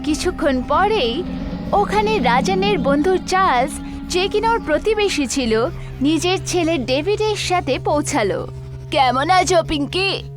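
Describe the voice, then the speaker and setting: A woman narrating a scripted horror story for an animated tale. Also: very high voice, a little slow, music in the background.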